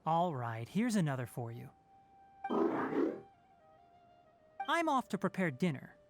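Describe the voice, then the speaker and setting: An actor playing a sensible young male forest ranger in a video game, instructive, calm and matter-of-fact, with dry wit and a caring streak. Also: medium pitch, fairly quick, over loud music. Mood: measured, considerate. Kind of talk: video game character acting.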